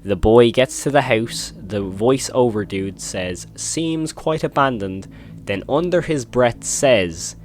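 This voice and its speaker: A man's voice: mid-range voice, expressive, slow, darkish tone. A man hosting a horror video commentary, retelling and reacting in an informal, emphatic way.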